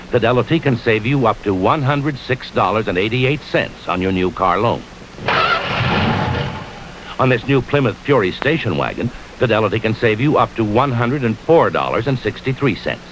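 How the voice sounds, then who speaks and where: Lively American radio ad announcer. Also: male voice, mid-range voice, normal speed. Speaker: commercial announcer. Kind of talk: scripted bank advertisement. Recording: hissy 1970s AM radio commercial.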